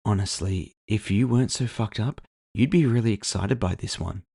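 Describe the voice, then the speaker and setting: A man voicing a brash, sharp-tongued woman with a casual, sardonic, teasing manner in a cyberpunk audio drama. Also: fairly low pitch, moderate pace, vocal fry, slightly rough. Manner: casual, sardonic, foul-mouthed. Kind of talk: audio fiction character dialogue.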